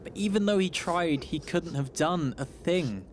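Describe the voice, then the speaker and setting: A man narrating a rhyming radio story in a theatrical, measured verse delivery. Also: a little high, lively intonation, slight background noise.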